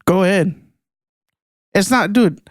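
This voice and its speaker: A young man talks in a casual podcast chat, excitable and full of slang. His voice sits high and comes through smooth and clear. The sound is dry and close, with no room around it. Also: takes it slowly, dry recording. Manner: excitable, slangy, says "bro" a lot. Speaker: podcast co-host.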